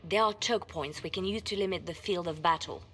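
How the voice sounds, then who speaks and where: Woman as low-voiced, grim spymaster. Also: a bit bright, faint music behind, close to an everyday voice. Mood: grave, informative. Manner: measured, serious. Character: cool, grim, shrewd woman spymaster briefing a leader. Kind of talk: video game cutscene acting.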